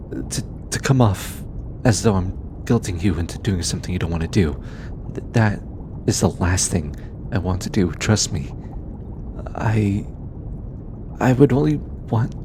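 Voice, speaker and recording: A man voicing a shy, gentle young alien scientist in an intimate audio roleplay, speaking softly and hesitantly with stammers. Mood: flustered, earnest. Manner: hesitant, stammering, soft-spoken. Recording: clear low background rumble noise.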